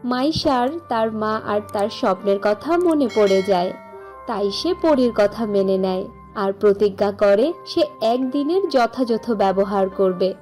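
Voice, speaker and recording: A woman's voice: mid-range voice, unhurried, darkish tone, soft background music. A woman narrator reading a scripted fairy tale for a cartoon.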